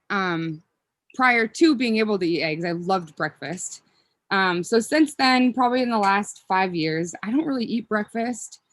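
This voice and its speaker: A woman's voice: medium pitch, no room sound. A woman in her thirties from Minnesota, chatting casually as a podcast guest, rambling with ums and self-corrections. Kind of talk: casual interview chat.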